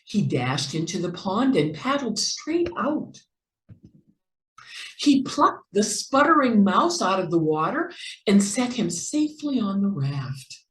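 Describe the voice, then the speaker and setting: A woman reading a children's story aloud with careful, expressive narration. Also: medium pitch, slow. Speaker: storybook reader. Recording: echoey room.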